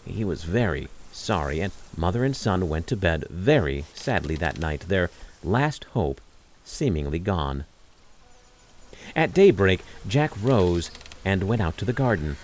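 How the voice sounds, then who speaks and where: A man's voice: low-pitched, very animated, a little slow, darkish tone, low-quality recording. A man narrating a scripted fairy tale as a storyteller.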